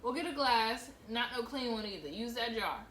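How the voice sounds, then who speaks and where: A woman reading aloud and voicing a stern, sharp-tongued mother of young girls who scolds bluntly. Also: fairly high pitch, expressive, normal speed. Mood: scolding. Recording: roomy echo, faint hiss.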